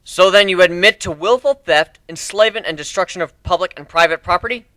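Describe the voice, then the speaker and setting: A man's voice: high voice, fairly quick, pushed and bright, no room sound, voice pushed up. A man voicing a firm young griffin leader who passes judgment in formal declarations during a story reading. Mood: stern.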